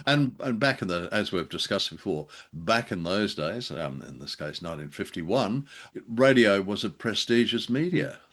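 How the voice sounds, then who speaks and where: A low-pitched man co-hosts a conversational review show. He picks his phrases politely and hesitantly, weighing things up in a measured way as he talks. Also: sing-song, a bit bright. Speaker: podcast co-host reviewing old radio drama. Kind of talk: conversational critical discussion.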